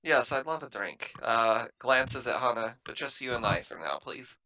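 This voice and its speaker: A man's voice: animated, moderate pace, bright, forceful tone. A man playing a tabletop roleplaying game, moving between firm in-character lines and casual table talk, plain-spoken and given to swearing. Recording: low-quality laptop group session.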